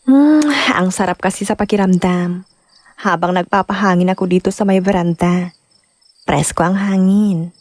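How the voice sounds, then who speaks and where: An actress playing a loving, wistful wife and mother in a sentimental radio drama, speaking softly and emotionally with a Filipino accent. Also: lowish voice, moderate pace, dry recording, close to an everyday voice. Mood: playful, affectionate. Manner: soft, heartfelt, emotional. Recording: close dry studio, music bed.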